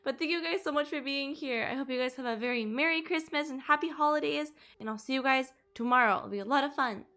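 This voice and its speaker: A woman's voice: sits high, fast, bright, forceful tone. A young woman who sounds tired, chatting casually to viewers as a game streamer. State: sleepy, tired. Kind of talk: casual livestream chat.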